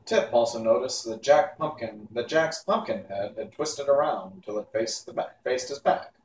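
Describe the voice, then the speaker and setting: A man's voice: medium pitch, animated, moderate pace. A man reading a children's novel aloud as narrator, in an unpolished amateur way. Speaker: storybook reader. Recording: echoey room, distant mic, faint hiss.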